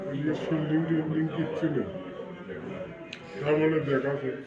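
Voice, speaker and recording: Man, casual shop talk, slightly high voice, muffled recording. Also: steady tone, moderate pace, over loud music. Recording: muffled, echoey, loud crowd chatter.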